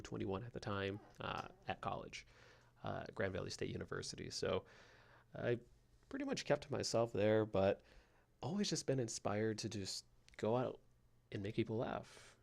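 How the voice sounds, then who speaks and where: A young man with a soothing, somewhat low voice that has a gravelly fry to it. He rambles softly and slowly as the host of a sleep podcast, with plenty of fillers. He speaks into a home computer microphone. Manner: soft, slow and meandering, with frequent fillers.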